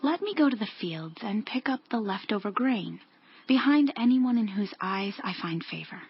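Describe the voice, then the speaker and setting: A woman playing a devoted, humble young widowed daughter-in-law in a dramatized scripture reading, earnest and measured. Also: mid-range voice, animated, breathy edge, dry recording. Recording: dull lo-fi audio over music.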